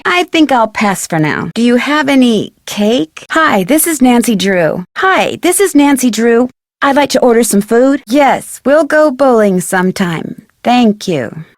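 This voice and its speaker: Perky young female sleuth for a video game: high, very animated, articulate, inquisitive and polite. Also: no echo, clean studio sound. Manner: chirpy, articulate, friendly instructing delivery. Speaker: game protagonist and tutorial guide. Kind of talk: scripted video game voice acting.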